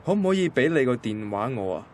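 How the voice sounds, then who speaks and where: A man's voice: medium pitch, sing-song, fast talker, darkish tone, lightly acted. A man playing a shy, friendly, hesitant young man in a radio drama, with a Hong Kong Cantonese accent. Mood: awkward, hesitant. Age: young adult. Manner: hesitant, casual. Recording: faint ambient noise behind voice.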